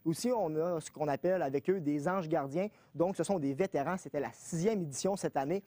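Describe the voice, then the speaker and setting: A man reporter with a fairly high, warm voice who rattles through his live report quickly and conversationally. The sound is dry and close. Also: no echo. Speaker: news reporter.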